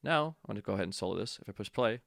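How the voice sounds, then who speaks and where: A man giving a casual software tutorial, informal and offhand, thinking aloud as an instructor demonstrating step by step. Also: fairly low pitch, animated, rapid-fire, gravelly, no room sound, studio quality.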